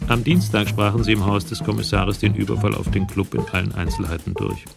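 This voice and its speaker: Low, gravelly male radio drama narrator. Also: little pitch movement, a little fast, over music.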